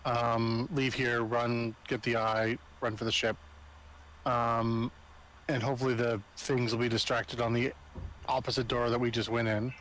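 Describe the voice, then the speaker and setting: A man with an average-pitched voice talks casually as a game player, working through his thoughts in halting fragments. Faint noise sits in the background. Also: little pitch movement, a bit bright, poor audio. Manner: hesitant, thinking aloud in fragments. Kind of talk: casual group game chat.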